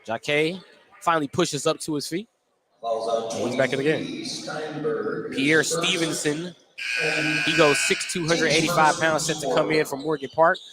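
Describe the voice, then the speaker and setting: A man's voice: on the high side, a little slow, pauses often, over a noisy crowd. A man doing play-by-play for live basketball radio, describing each play in running detail. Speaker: play-by-play sports announcer. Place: indoor high school gym. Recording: noisy live gym broadcast.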